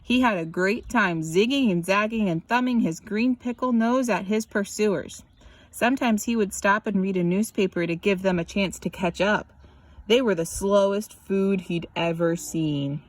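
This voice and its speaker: A woman reads a picture book aloud as scripted narration, animated and drawing out words for emphasis. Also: medium pitch, normal speed, no room sound. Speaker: children's story reader.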